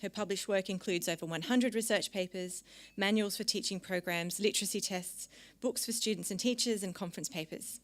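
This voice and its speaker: A woman's voice: medium pitch, brisk. A woman hosting an event in a lecture room, giving a polished, formal introduction of a guest speaker. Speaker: event host.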